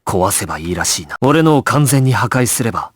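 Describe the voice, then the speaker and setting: Young mob squad boss from an anime game, really animated pitch, dry close sound, terse and commanding. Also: male voice, brisk, husky, no room sound. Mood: grave, composed. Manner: terse, authoritative, giving clipped orders. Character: commanding young male gangster squad leader, resolute and composed. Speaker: video game voice actor. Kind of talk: Japanese anime game voice acting, dramatic.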